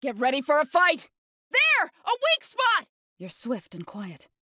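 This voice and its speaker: An earnest high-voiced warrior companion. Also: female voice, huge pitch swings, unhurried, pushed and bright, dry recording, clean studio sound, full character voice. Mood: calm, supportive. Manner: short, emphatic battle callouts. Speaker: video game character. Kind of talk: video game combat voice lines.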